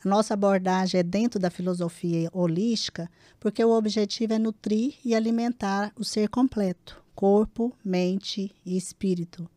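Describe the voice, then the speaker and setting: A woman's voice: medium pitch, fairly even intonation, moderate pace, hardly any pauses, warm tone, no room sound. A woman with a Brazilian accent hosting a podcast in a conversational interview style. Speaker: podcast host interviewing a guest.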